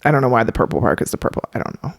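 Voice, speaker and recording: A young adult woman co-hosting a health podcast, talking in a chatty, quick way with frequent laughs. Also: very deep voice, big pitch swings, creaky, slight background noise. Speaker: podcast co-host, health coach. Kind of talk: casual podcast chat.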